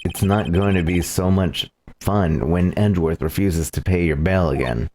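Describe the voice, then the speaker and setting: A man reading the part of an earnest, easily flustered young male defense lawyer in a voiced visual novel playthrough, halting at times. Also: low-pitched, a little mellow, a little hiss or hum, lightly acted. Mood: concerned, wry. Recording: game music behind the voice. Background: video game music.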